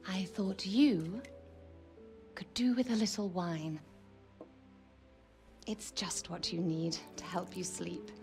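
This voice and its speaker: A woman playing a kindly, gracious noblewoman in a medieval video game, refined, gentle and poised. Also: fairly low pitch, fairly even intonation, takes it slowly, long pauses, soft background music. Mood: soft, confiding. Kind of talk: video game cutscene acting.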